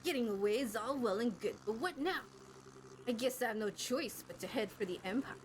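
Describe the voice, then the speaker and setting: A woman's voice: on the high side, expressive, fairly quick, a little hiss or hum, voice pushed down, natural voice. Woman putting on a pompous scheming male villain. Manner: pompous, gloating. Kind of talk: amateur game dubbing.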